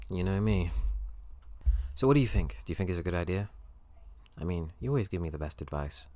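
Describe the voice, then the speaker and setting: A man voicing an excitable, affectionate young college best friend in an intimate ASMR roleplay, playful and prone to gushing rambles. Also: sits low, close to an everyday voice. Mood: earnest, unsure.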